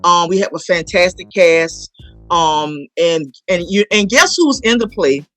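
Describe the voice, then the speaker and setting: A woman's voice: lowish voice, animated, measured pace, fairly bright tone, no echo, faint music behind, rough recording. A woman from New Orleans talking as an interview guest, emphatic and prone to repeating her phrases. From New Orleans, United States. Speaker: guest, playwright and performer. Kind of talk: conversational interview.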